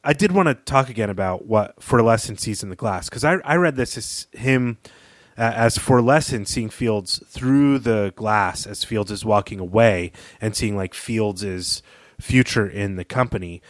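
A man's voice: lowish voice, a little slow, dry recording. A man co-hosting a podcast, giving reflective, opinionated analysis of a story. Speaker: podcast co-host. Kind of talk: analytical literary discussion.

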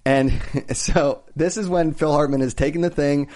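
A man's voice: fairly high pitch, steady tone, normal speed, darkish tone. A man hosting a casual podcast chat, talkative and laughing often. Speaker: podcast host.

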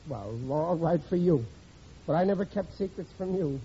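A man's voice: a little high, animated, moderate pace, dark tone, slightly rough. An actor playing a blustering, gullible, worrying working man in a 1940s radio comedy, talking in a folksy, ungrammatical way. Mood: sulky. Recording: muffled, noisy old radio recording.

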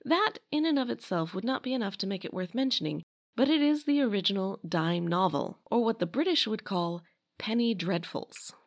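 A woman's voice: mid-range voice, lively intonation, moderate pace. A woman narrating a scripted history talk in a conversational way, with wry asides. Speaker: podcast host and narrator.

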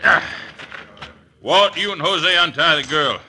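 Smug Western outlaw boss, noisy recording. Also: male voice, fairly high pitch, takes it slowly, pushed and bright, full character voice. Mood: brisk, commanding. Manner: folksy Western speech, gloating. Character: outlaw gang boss, smug, bullying, drawling Western villain. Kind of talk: old-time radio western acting. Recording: noisy old radio broadcast recording.

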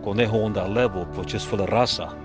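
A middle-aged man with a fairly low voice, from El Salvador, talks as in a documentary testimonial. He is earnest and casual, using street slang and swearing. Music runs clearly underneath. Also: steady tone, quick pace. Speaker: fan interviewee. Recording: music playing behind the voice.

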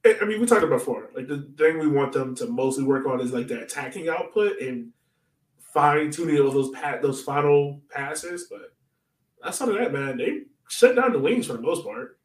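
A man's voice: on the high side, lively intonation, moderate pace. A man's voice sounds roomy and set back from the microphone, with plenty of echo. He talks like a podcast co-host in casual sports chat, telling stories in loose, slangy speech. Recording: roomy echo, distant from mic.

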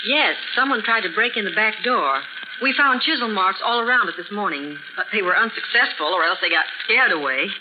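A woman's voice: medium pitch, expressive, fairly quick, highs cut off, natural voice. Actress as woman shop owner, polite, matter-of-fact, 1940s radio drama, dulled sound, noisy old recording. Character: businesslike woman who owns a spider web business.

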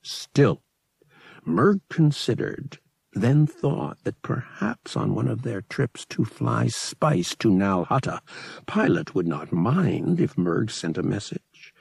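Male narrator for sci-fi audiobook: lowish, gravelly voice, expressive storytelling delivery, dry close sound. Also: dry recording. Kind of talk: scripted dramatic narration of science fiction.

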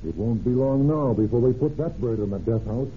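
An actor playing a brisk, plain-spoken county sheriff in an old radio crime drama, matter-of-fact and clipped. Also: male voice, mid-range voice, lightly acted. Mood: businesslike. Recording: tinny, noisy old radio recording.

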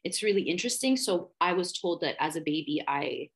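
A woman's voice: lowish voice, expressive, a little fast, slightly rough. A woman in her thirties, a perfumer who grew up in Dubai, talking reflectively and effusively as a guest in a casual interview. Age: 30s. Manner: reflective and effusive, searching for words with many fillers.

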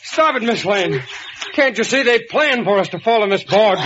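High-pitched urgent young guy in a radio serial, blunt, muffled old noisy recording. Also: expressive, moderate pace. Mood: urgent, grim. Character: young man trapped in quicksand, bitter but steadying. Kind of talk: 1940s radio acting. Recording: dull old radio recording, loud background noise.

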